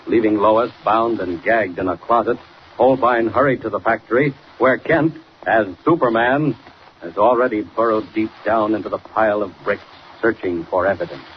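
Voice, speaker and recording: A man's voice: lowish voice, moderate pace. A man narrating a radio serial as its announcer, in a dramatic 1940s scripted style. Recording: muffled, noisy 1940 radio recording.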